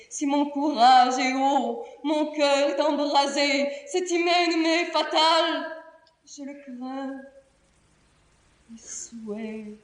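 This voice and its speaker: An actress playing a proud, lovesick young princess, declaiming classical French verse tragedy in a solemn, impassioned and theatrical manner. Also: female voice, fairly high pitch. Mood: sorrowful, torn. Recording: roomy, distant theatrical studio recording.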